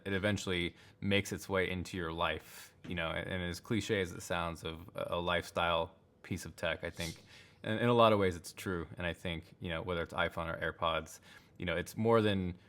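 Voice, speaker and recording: Laid-back man with a deep voice. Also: a little fast, fairly bright tone. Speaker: podcast guest, tech YouTuber. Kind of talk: casual chat.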